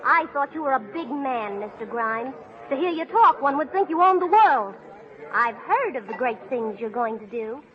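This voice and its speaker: Haughty young lady in old radio play, high lilting voice, swoops around, muffled. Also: lively intonation, normal speed. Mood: mocking. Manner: haughty, teasing. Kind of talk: 1930s radio acting. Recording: muffled 1930s radio broadcast, music behind.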